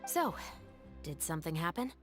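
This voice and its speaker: An actress playing a composed young female patrol captain in a video game, dry, dutiful and measured. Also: deep voice, very animated, faint music behind. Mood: wry. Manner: dry, measured, matter-of-fact.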